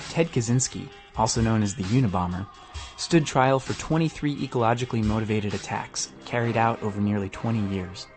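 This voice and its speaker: A man reading scripted narration as a podcast host, with a husky edge to his voice. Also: medium pitch.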